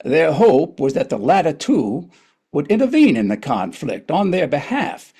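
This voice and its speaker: A man's voice: a little high, very animated, unhurried, warm tone, slightly rough. A man narrating a historical play in a scripted but conversational way.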